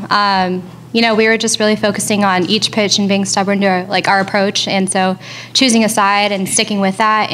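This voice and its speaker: A young woman softball player answers in an easygoing way, filling pauses with "like" and "um". Her tone stays flat with little pitch movement. Faint noise sits behind her at the table mic. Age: young adult. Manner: casual, lots of "like" and "um".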